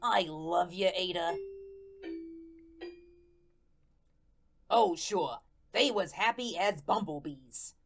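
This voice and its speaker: A woman's voice: on the low side, measured pace, over music. A woman playing a naive, lovelorn male ghost who narrates his story in folksy, slangy talk, in the style of a radio play. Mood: wistful, earnest. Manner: folksy, colloquial, guileless storytelling.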